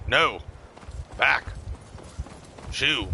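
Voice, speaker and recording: A man roleplaying live while playing a video game, halting and thinking aloud as he goes. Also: mid-range voice, gravelly, bright, forceful tone, slight background noise. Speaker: streamer roleplaying a game character. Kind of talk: live in-character gameplay roleplay.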